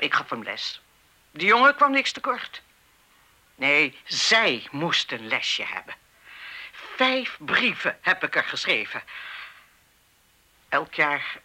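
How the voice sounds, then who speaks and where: An older, embittered schoolmistress in a radio play. Her voice is very bright and forceful, with animated swings of pitch. She lectures indignantly, like someone sure of her rules and weighed down by guilt. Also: heavily acted. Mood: defensive, indignant. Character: embittered retired schoolmistress, stern, righteous, haunted by guilt. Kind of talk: Dutch radio play acting.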